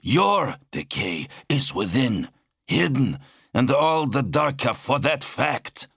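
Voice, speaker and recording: A man performs a stern warrior brother from an audiobook, indignant and accusatory. His voice is pushed well up from the narrator's register and swings widely in pitch. He bites out his words with sharp distrust, in a very dry recording. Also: lively intonation, unhurried, dry recording. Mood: angry, accusing. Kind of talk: dramatic audiobook character voice.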